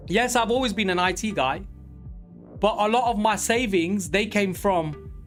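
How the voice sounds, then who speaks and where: A man of forty talking casually and candidly on a live trading stream, rambling with many pauses. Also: sits high, soft background music. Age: 40s. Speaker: livestream day trader.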